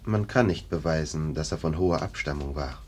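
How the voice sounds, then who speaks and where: Stern official, radio play: deep voice, authoritative, cool disbelief, warning tone. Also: male voice, a little fast. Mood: sceptical. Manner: authoritative, curt, admonishing. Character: stern, sceptical police lieutenant, dismissive official. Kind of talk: German radio play acting.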